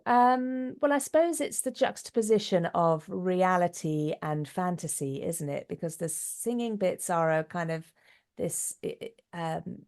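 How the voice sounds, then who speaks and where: A woman's voice: lowish voice, expressive, measured pace, a little mellow. A middle-aged woman giving articulate, reflective answers in a conversational interview. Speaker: interview guest, actress, writer and singer.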